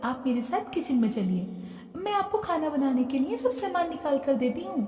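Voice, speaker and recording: A woman voicing a sweet, eager young sister-in-law in a cartoon story, speaking in a bright, reassuring way. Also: high-pitched, very animated, moderate pace, voice pushed up. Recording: roomy echo, distant from mic.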